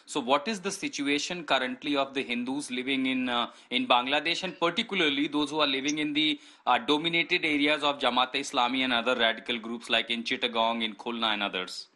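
A man's voice: mid-range voice, steady tone, a little fast, few pauses, pushed and bright. A man conducting a live TV news interview, asking probing questions with occasional fillers.

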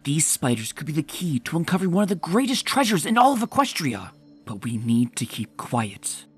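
A woman voicing a bold, confident female adventurer pony in a comic dub, talking briskly and commandingly. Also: low voice, sing-song, a little mellow, slightly rough, soft background music, heavily acted. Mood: secretive.

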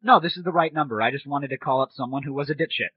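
A man's voice: mid-range voice, quick pace, husky, dry recording. Muffled, irreverent male comedy host. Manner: sarcastic, irreverent. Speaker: host of a comedy audio show. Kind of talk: casual, crude comedic monologue. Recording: muffled, noisy lo-fi audio.

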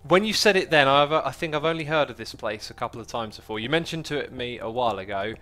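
A man's voice: mid-range voice, fast talker, pushed and bright. British man in easygoing livestream chat.

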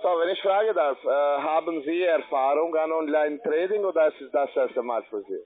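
A man with a foreign accent in German, giving an insistent, formal sales pitch as an account manager. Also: normal speed. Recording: tinny, narrow phone line.

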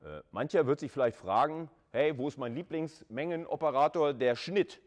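A man's voice: fairly high pitch, lively intonation, normal speed. A man lecturing at university in a conversational way, repeating words for emphasis and adding short checking tags. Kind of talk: informal screen-recorded lecture with live coding and slides.

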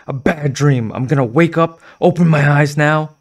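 A man voicing a frightened young girl trapped in a monster mask, with a raspy, shrill, desperate voice. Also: normal speed, darkish tone, natural voice. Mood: despairing.

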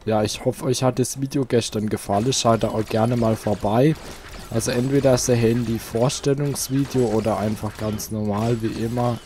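A man's voice: mid-range voice, fairly even intonation, normal speed, mellow, a little hiss or hum. A man hosting a let's play, chatting casually and thinking aloud while he plays, seated at home. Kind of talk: casual gameplay commentary.

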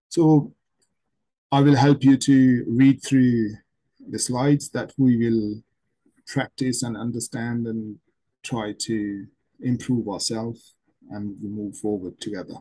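Middle-aged pakistani guy teaching english on webcam, slow and repetitive, kinda dark husky voice. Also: medium pitch, poor audio. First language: Urdu. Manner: slow, repetitive explaining with many pauses. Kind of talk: online lecture to camera. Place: home room with plants and shelves.

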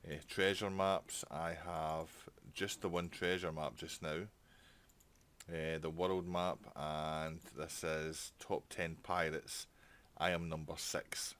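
Deep-voiced man gaming. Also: flat delivery, takes it slowly, fairly bright tone, smooth. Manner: chatty, thinking aloud while playing. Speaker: gaming channel host. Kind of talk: casual let's play commentary.

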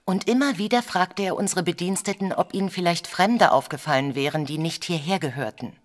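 Schauspielerin als tief sprechende viktorianische Dame. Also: female voice, fairly low pitch, natural voice. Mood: indignant, uneasy. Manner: formal, measured storytelling. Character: proper, discreet Victorian married lady, troubled client. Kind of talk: German audio drama acting.

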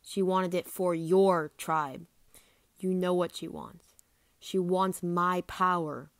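A woman voicing a bitter, proud male dragon prince with a deliberate delivery. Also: lowish voice, fairly even intonation, dry recording.

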